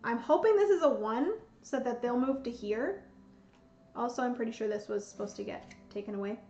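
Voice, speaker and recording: A young woman, losing her voice, slowly talks herself through a solo board game at home, counting out pieces as she plays. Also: a little high. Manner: slow, thinking aloud, counting pieces. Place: home room with bookshelves, indoors. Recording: roomy, slightly dull sound, soft music.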